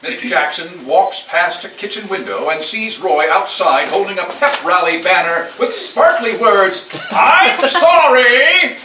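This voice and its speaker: A lively, slightly high-voiced man reads scripted stage directions at a live table read. The sound is echoey and noisy, picked up from some way off in the room. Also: measured pace, few pauses. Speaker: narrator reading stage directions. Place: live staged reading at a party. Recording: echoey room, distant mic, clearly noisy.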